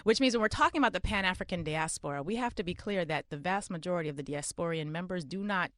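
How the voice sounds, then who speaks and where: A woman advocate explaining her position in a discussion, persuasive and animated, now and then laughing between points. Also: lowish voice, fast talker, no room sound, clean studio sound. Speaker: community advocate.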